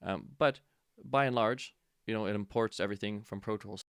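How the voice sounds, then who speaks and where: A man giving a casual software tutorial, informal and offhand, thinking aloud as an instructor demonstrating step by step. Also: on the low side, normal speed, clear, resonant tone, no room sound, studio quality.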